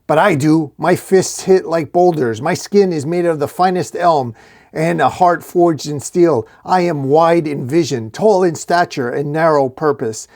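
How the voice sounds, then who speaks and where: A man's voice: medium pitch, animated, moderate pace, darkish tone, close to an everyday voice. A man voicing a boastful, violent talking shield in a comic fantasy story, with a bombastic, gleeful manner. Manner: bombastic, cheerfully bloodthirsty.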